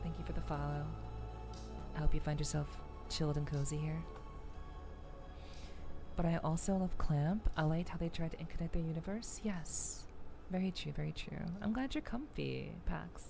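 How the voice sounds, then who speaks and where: A woman's voice: sits low, normal speed, vocal fry, mellow, faint music behind. A woman streamer chatting casually to her live audience, thinking aloud and often repeating her words. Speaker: VTuber streamer. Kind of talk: casual livestream chat.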